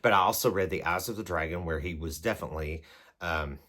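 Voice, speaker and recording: A man's voice: low-pitched, fast, bright, forceful tone. A man vlogging as a book reviewer, talking casually to camera in a rambling, hesitant way as he works through prompts. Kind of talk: casual chat to camera, answering numbered prompts.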